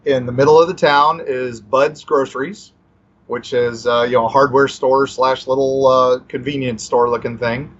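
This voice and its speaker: Male podcast co-host, mid-pitched, easygoing rambler with lots of fillers, slightly muffled audio. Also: fairly even intonation, normal speed. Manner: rambling, many fillers and restarts. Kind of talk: casual storytelling chat.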